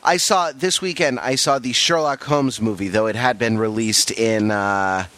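A man's voice: mid-range voice, normal speed, fairly bright tone, dry recording. Rambling male podcast host, under the weather. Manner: rambling, self-interrupting with fillers. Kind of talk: casual chat.

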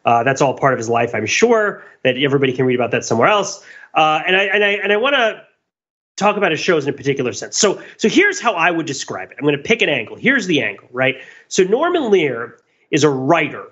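A man's voice: fairly high pitch, big pitch swings, fast, fairly bright tone, husky, muffled recording. A man co-hosting a podcast, talking through his analysis in a casual, rambling, self-interrupting way with wry asides. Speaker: podcast co-host.

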